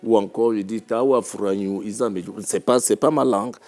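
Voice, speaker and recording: A man's voice: fairly low pitch, brisk, a little mellow. A man from Cameroon, a musician guest on a panel talk show, speaking reflectively and repeating his phrases.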